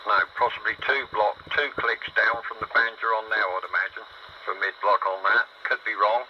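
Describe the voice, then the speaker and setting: A British man with a fairly low voice chats in a rambling, matey way as a CB radio operator. The signal is dull and buried in very heavy static. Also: fairly even intonation, normal speed. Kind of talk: casual radio chat. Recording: muffled, very noisy CB radio signal. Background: loud radio static and hiss.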